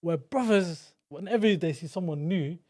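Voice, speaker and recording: A man's voice: a little high, moderate pace, a little mellow. A man co-hosting a podcast who talks casually, full of slang and frequent "bro"s.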